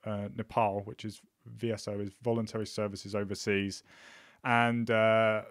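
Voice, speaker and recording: A man hosting a podcast, talking in a relaxed, hesitant way with many ums and restarts. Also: on the low side, moderate pace, no echo, clean studio sound. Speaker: podcast host. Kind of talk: casual conversational interview.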